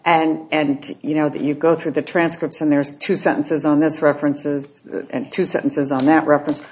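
A woman's voice: deep voice, level tone, moderate pace, a little mellow. Appellate judge, female, pointed skeptical questioning; muffled lo-fi courtroom audio. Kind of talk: questioning from the bench. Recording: dull, lo-fi courtroom recording.

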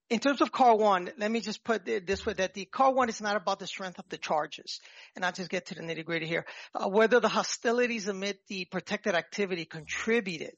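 A man's voice: high-pitched, fairly bright tone, dry recording. Nervous New York man arguing, dull dry audio. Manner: halting, nervous, jumping between points. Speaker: petitioner arguing own appeal. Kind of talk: formal oral argument in court. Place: appellate courtroom. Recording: dull courtroom microphone, dry sound.

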